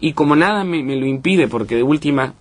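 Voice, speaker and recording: Hombre uruguayo de voz ronca y titubeante. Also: male voice, a little high, expressive. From northern Uruguay border region. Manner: hesitant, repeats "¿no?" often, reads poems slowly. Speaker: poet, interview guest. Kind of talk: casual radio interview conversation.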